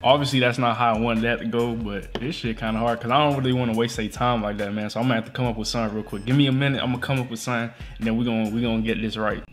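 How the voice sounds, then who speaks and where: A man's voice: mid-range voice, steady tone, rapid-fire, hardly any pauses, soft background music. A young American man with a slangy way of talking, chatting casually and making prank calls as a vlog host. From Atlanta, United States. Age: young adult. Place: living room at home.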